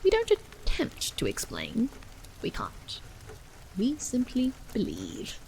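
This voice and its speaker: A woman's voice: high voice, slow pace, a little hiss or hum, voice pushed up, exaggerated voice, poor audio. A woman voicing an earnest, cordial clergyman of seventy-one, persuading patiently in formal, emphatic, old-fashioned phrases for a story. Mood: earnest, insistent. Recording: steady rain sounds behind the voice.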